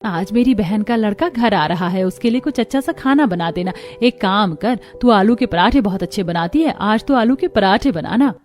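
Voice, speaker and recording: A woman's voice: mid-range voice, animated, normal speed, few pauses, darkish tone, lightly acted. A woman playing an older, practical and bossy household employer, giving instructions matter-of-factly in a moral story. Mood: instructing. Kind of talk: dramatized Hindi moral story acting.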